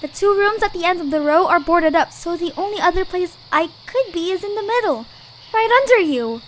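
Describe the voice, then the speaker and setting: A woman's voice: very high-pitched, lively intonation, brisk, a bit bright, slight background noise, low-quality recording. A woman voicing a plucky, exasperated young cartoon creature in an audio drama, blunt and wry. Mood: reasoning. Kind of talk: audio drama character acting.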